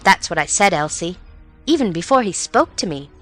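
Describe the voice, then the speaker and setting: Woman voicing young niece, high voice, breezy, opinionated, lively. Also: moderate pace, faint music behind. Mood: indignant.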